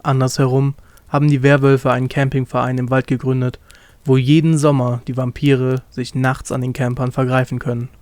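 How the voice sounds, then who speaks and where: A man's voice: medium pitch, a little mellow, dry recording. Man narrating a story, dry sound. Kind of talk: scripted narration of a comic story.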